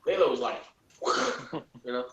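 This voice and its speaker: A man's voice: medium pitch, lively intonation, unhurried, fairly bright tone. A man chatting casually in an echoey room. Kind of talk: casual chat.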